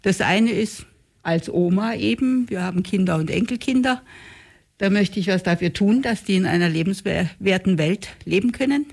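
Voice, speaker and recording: A woman in her mid sixties speaks with a noticeably gravelly voice. She talks in an orderly way and sets out her points one after another. Her pitch stays in a middle range. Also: moderate pace, a little mellow. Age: 60s. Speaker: group member. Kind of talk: casual interview talk.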